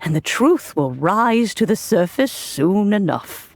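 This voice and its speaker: An actress voicing a kindly, wise elderly woman mentor in a video game, speaking in a measured, fond, grandmotherly way. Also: mid-range voice, very animated, slight background noise. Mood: warm, wise. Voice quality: creaky. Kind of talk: scripted video game dialogue.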